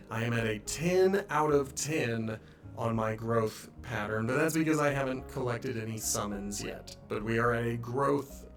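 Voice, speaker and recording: A slightly sniffly man hosting a livestream, chatting casually and rambling in a self-deprecating way. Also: medium pitch. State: slightly sniffly, congested from allergies. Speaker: live streamer and host of a game playthrough.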